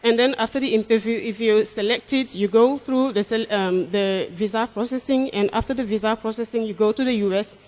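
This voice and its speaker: A woman's voice: on the high side, fairly quick, few pauses, poor audio. Young Senegalese woman teacher, thoughtful. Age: young adult. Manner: thoughtful, with restarts and soft laughs. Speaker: guest, English teacher. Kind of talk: casual interview answers.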